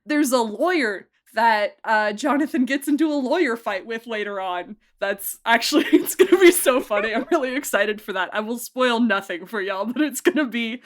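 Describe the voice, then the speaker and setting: An enthusiastic young woman with a fairly high voice talks about producing and directing. She laughs often and tumbles forward in quick, eager bursts. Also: animated. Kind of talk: podcast interview.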